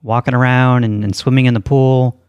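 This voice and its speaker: A man hosting a prank call show in a casual, deadpan and insistent way. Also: medium pitch, brisk, clear, resonant tone, no room sound, highs cut off. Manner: casual, insistent, straight-faced.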